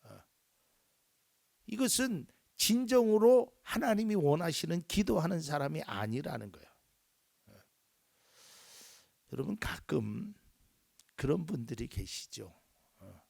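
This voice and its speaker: Animated gravelly-voiced male pastor. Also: a little high, a little slow, long pauses, dry recording, clean studio sound. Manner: animated preaching, acting out quoted voices, drops to near whispers. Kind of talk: sermon.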